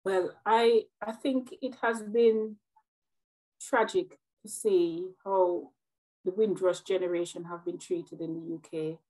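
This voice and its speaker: A woman's voice: fairly low pitch, fairly even intonation, takes it slowly, dark tone, low-quality recording. Earnest Jamaican woman, reflective. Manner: earnest and reflective, repeats words as she thinks. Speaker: guest, nurse. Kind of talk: interview conversation.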